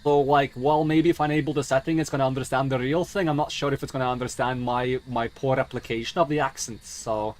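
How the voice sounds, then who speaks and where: A man's voice: medium pitch, fairly even intonation, fast talker, few pauses. A man giving rambling, sweary, theatrical video game commentary in a put-on mock dwarf accent. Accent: put-on mock accent he calls a dwarf accent. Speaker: game streamer.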